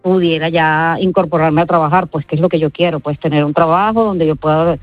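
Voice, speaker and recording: A woman from Venezuela with a Venezuelan accent answers interview questions informally, in a halting way with repeated words. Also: low-pitched, fairly even intonation, no echo, soft background music. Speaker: interview guest. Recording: dull, band-limited radio-like sound.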